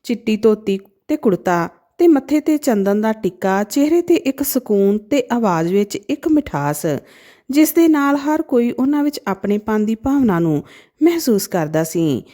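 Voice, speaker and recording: Mellow, expressive woman storyteller. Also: a little high, moderate pace. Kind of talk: scripted narration of a sad moral story.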